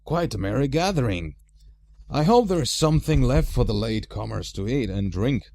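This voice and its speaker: A man's voice: medium pitch, lively intonation, normal speed, a little mellow, close to an everyday voice. A man voicing a genial old wizard in a storybook, courteous but commanding in manner.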